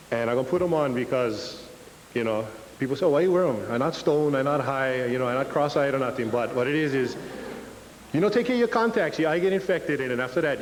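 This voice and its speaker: Young Hawaiian pidgin-speaking man, joking and nervous. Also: mid-range voice. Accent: Hawaiian Pidgin English. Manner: nervous, joking, self-deprecating. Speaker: guest speaker. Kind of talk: casual public talk. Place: lecture hall. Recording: reverberant hall with faint hiss.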